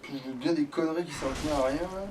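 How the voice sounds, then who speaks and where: Higher-pitched man grumbling through a let's play. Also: fast. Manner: sweary, sarcastic grumbling. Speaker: let's player. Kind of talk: casual gaming commentary. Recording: slightly distant mic with faint noise.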